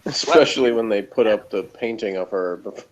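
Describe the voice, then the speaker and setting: A man's voice: fairly low pitch, normal speed. A man in his thirties chatting casually as a reviewer, with a dry, sarcastic way of talking. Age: 30s. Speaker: podcast co-host, reviewer. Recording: muffled lo-fi line with noise.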